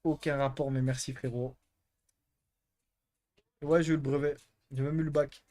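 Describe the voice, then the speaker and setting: A man's voice: medium pitch, level tone, moderate pace, mellow. A young man hosting a live stream, chatting casually and answering chat with crude, teasing jokes. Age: young adult.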